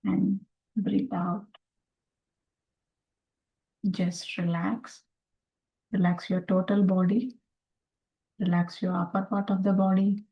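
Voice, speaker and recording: Female meditation guide: very unhurried, soft-edged husky voice, calm and soothing, short phrases with pauses. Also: medium pitch, dull audio. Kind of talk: slow guided meditation instruction. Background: meditation music.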